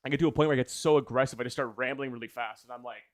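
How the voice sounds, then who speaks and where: A man's voice: mid-range voice, steady tone, racing, no echo. Enthused guy rambling on stream, keeps correcting himself, really dry close sound. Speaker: video essayist, livestream host. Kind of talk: casual livestream chat with viewers.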